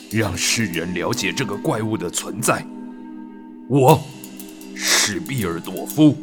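A man's voice: low voice, heavily acted. A man playing a grave middle-aged aristocrat in a radio drama, with solemn, theatrical delivery. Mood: anguished, vengeful. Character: grave middle-aged aristocratic father, reading a grieving letter. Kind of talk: Mandarin radio drama acting.

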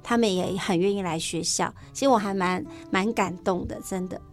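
A woman's voice: medium pitch, moderate pace, faint music behind. A woman with a Taiwanese Mandarin accent, a teacher chatting enthusiastically as an interview guest. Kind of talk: casual interview chat.

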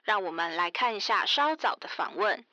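A woman's voice: medium pitch, animated, moderate pace, bright, forceful tone. A woman playing a formal news anchor reading a report in an audio drama. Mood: formal, neutral. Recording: noisy radio-like news broadcast.

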